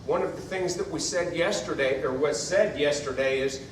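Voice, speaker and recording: A man speaks earnestly as a college football coach on a conference panel, circling back and repeating himself to drive a point home. His voice sits fairly high. The recording is distant and echoey, with faint room noise underneath. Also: normal speed. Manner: earnest, reflective, repeats phrases for emphasis. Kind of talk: panel discussion answer.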